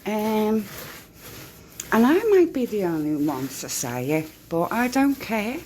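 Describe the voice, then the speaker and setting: A woman's voice: medium pitch, sing-song, slow pace, a little mellow, slight background noise. A woman from near Liverpool with a light Liverpool accent, giving rambling, candid true crime commentary. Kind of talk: casual commentary.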